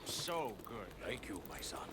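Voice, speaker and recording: A man playing a wise old rat sensei in a video game, speaking in a measured, formal, solemn way. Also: mid-range voice, big pitch swings, noisy background. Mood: calm.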